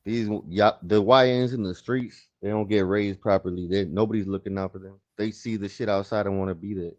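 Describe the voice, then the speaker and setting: A man chats on a live stream. He tells stories in an easy, laid-back way, full of slang. His voice is low, and the muffled lo-fi audio dulls it. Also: fairly even intonation, fairly quick, a little mellow. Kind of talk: casual livestream chat.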